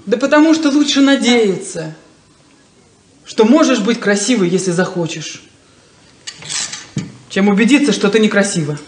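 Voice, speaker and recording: An actress playing a proud, insecure young daughter in a 1970s Soviet radio play, with a theatrical, defensive, wounded delivery. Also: female voice, medium pitch, lively intonation, moderate pace, pauses often, a little hiss or hum, close to an everyday voice. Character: proud, insecure, plain-spoken unmarried daughter. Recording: roomy 1970s radio drama recording.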